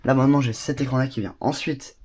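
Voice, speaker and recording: A man's voice: mid-range voice, steady tone, fast, a little mellow, slightly rough. A man from Bordeaux with a cold, showing off his home studio in a chatty, enthusiastic vlog full of fillers and asides. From Bordeaux, France. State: has a cold. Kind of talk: casual vlog tour. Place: small home studio room.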